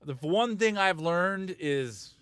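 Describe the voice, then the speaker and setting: Male music producer, casual live-stream chat; rambling, blunt, self-mocking; a touch higher voice, dry sound. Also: expressive, slow pace, fairly bright tone, clear, resonant tone, no room sound.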